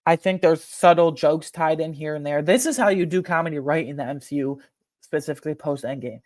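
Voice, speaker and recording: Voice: mid-range voice, fairly quick, darkish tone, no echo, clean studio sound. A video host talking casually and emphatically through a film ranking, with opinionated, slangy, conversational delivery. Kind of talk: casual, opinionated movie commentary to viewers.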